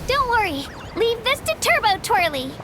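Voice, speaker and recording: A woman's voice: extremely high pitch, big pitch swings, moderate pace, noisy background. A woman voicing a spirited, eager and loyal young warrior girl in a video game. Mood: upbeat.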